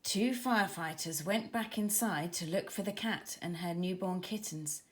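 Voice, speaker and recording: A woman narrating a scripted children's story in a dramatic, expressive manner. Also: lowish voice, moderate pace. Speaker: author narrator.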